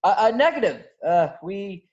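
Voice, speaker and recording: A man's voice: high voice, big pitch swings, moderate pace, darkish tone. A man acting a cocky, skeptical smuggler in a table read, over a virtual call. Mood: flustered bluffing. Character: cocky, skeptical male smuggler, gruff but improvising nervously. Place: virtual call from separate location. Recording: dull lo-fi video-call audio.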